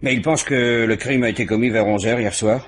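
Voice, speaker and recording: An actor plays a police detective in a radio mystery. His husky, medium-pitched voice reports facts briskly and respectfully to his superior. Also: lightly acted. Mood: eager, factual. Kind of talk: French radio mystery drama acting.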